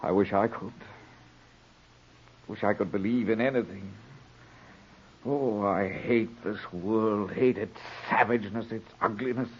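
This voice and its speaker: An actor playing a bitter, restless young man in a 1940s radio drama, husky-voiced, brooding and agitated. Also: lowish voice, unhurried, pauses often, a little hiss or hum, natural voice. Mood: bitter despair. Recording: muffled vintage radio recording.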